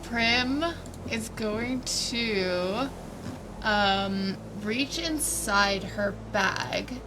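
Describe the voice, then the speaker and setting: A woman's voice: medium pitch, drawn-out words. A woman chatting casually as a player in a tabletop roleplaying game, hesitant and thinking aloud. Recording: clear background noise.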